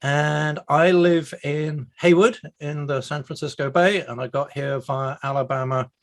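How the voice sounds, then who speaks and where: A man's voice: a little high, steady tone, a little slow. Man from the uk, meetup host, chatty, self-deprecating, video call. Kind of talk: casual online meetup chat.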